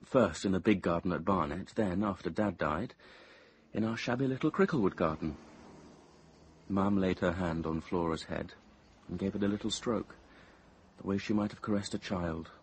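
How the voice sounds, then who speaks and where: A man acting as a reserved young English man in a radio play, with a low voice. He is understated and reflective, a squeamish soul looking back on family life, over faint background noise. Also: normal speed, natural voice. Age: young adult. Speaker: narrator and character. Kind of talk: radio drama narration and dialogue.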